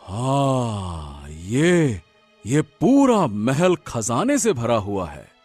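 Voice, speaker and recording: A man's voice: medium pitch, wildly swooping pitch, extremely slow, darkish tone, no echo, faint music behind, heavily acted. A man voicing an arrogant, power-hungry cartoon king with an imperious, theatrical delivery. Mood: awed, exultant. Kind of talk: cartoon story voice acting.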